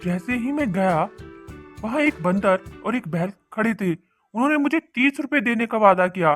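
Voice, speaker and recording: A man's voice: sits high, animated, normal speed, a bit bright. An eager, wide-eyed cartoon fisherman bubbles over with exclamations as a man acts him out. He is a simple husband with gold on his mind, gasping between bursts. Music plays behind him. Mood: excited. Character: excitable, greedy but kindly fisherman husband. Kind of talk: animated cartoon voice acting.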